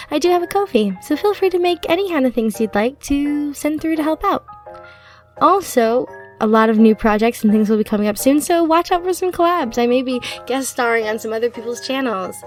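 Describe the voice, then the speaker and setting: A woman's voice: high-pitched, darkish tone. A woman hosting her channel, talking casually and directly to listeners in a chatty, upbeat way. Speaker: channel host, audio roleplay performer.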